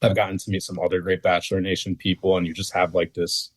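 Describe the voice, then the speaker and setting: Low-voiced young American man, hesitant. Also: monotone, quick pace, dry recording. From northeastern United States. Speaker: interview guest, former reality dating show cast member. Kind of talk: casual interview conversation.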